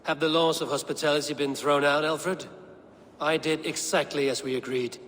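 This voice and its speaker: An actor playing a grim, proud Norse warrior with a measured, defiant manner in a video game. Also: male voice, fairly high pitch, fairly even intonation, a bit bright, close to an everyday voice. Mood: wary. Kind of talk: video game cutscene acting. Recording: long reverb, noisy ambience behind.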